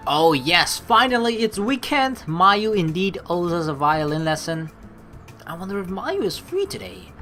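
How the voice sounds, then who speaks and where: Excitable bright-voiced woman, lively game commentary. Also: on the low side. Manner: excitable, chatty, playful asides. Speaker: let's play host. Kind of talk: game commentary mixed with reading story text aloud.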